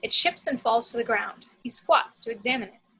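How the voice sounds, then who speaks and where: A woman's voice: a little high, moderate pace, bright, forceful tone, slightly rough, low-quality recording. A woman reading the action descriptions of a screenplay as a scripted narrator.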